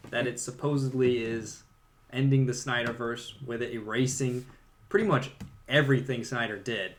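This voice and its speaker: A man's voice: medium pitch, fairly even intonation, moderate pace, a little hiss or hum. A man co-hosting a podcast, giving his opinions on films in a casual, conversational way. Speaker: podcast co-host. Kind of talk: casual film discussion.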